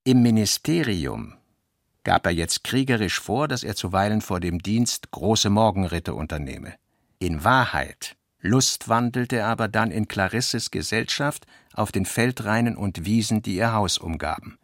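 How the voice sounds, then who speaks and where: A man narrating a literary radio play in a measured, expressive storytelling manner. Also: fairly low pitch, dry recording, studio quality.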